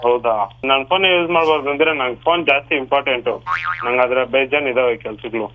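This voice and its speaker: Brisk, sharp-voiced young man on phone. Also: mid-range voice, faint music behind. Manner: polite but curt, argumentative. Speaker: prank call victim, shop worker. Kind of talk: casual phone conversation. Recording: noisy phone call.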